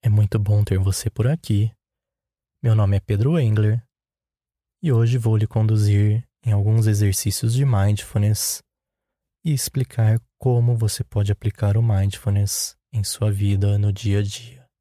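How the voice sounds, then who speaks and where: A Brazilian man guides a meditation in a lowish, very mellow voice. His delivery is calm and unhurried, giving each instruction plainly. The sound is dry, with little room around it. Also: no echo. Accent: Brazilian Portuguese.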